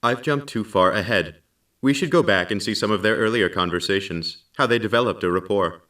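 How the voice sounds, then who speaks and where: An actor playing a formal, deadpan male computer companion on a space station, in a science fiction audio drama. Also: lowish voice, a little fast, far from the mic.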